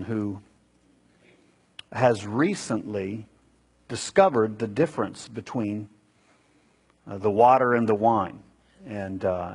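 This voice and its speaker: A man preaching a sermon as a pastor, teaching with deliberate, emphatic phrasing. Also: mid-range voice, husky.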